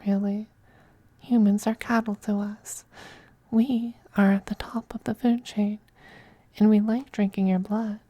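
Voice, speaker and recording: A performer voicing a possessive, androgynous male vampire master in an ASMR roleplay, soft, breathy and often whispering, coaxing and condescending. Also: medium pitch, no room sound. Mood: cold, haughty. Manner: slow, purring, coaxing, condescending. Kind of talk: ASMR roleplay, intimate scripted monologue.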